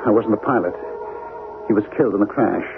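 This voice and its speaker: An actor playing an obsessed, frightened husband in a 1970s radio drama, speaking earnestly and urgently with dramatic pauses. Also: male voice, on the low side, vocal fry, phone-quality sound, music in the background. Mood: haunted, dreamy. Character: obsessed, frightened husband convinced of alien invasion. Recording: noisy, band-limited 1970s radio broadcast.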